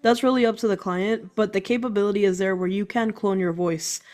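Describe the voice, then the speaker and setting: A woman who is a guest on a business podcast, explaining her work quickly and enthusiastically. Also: medium pitch, fairly even intonation, darkish tone. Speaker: guest entrepreneur. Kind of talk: casual interview chat.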